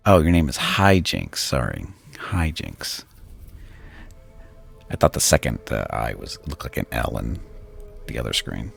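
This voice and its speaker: A man streaming a game and reading its text aloud, his voice very deep and gravelly with a lively, wandering pitch. He drops relaxed, wry comments between passages while faint game music plays. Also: unhurried, pauses often.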